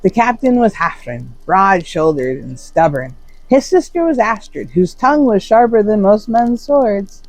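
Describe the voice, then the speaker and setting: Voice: mid-range voice, animated, a little mellow, dry recording. Solemn, dramatic saga storyteller, closely recorded. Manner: solemn, theatrical, with deliberate pauses for suspense. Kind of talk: dramatic scripted narration of a Norse saga.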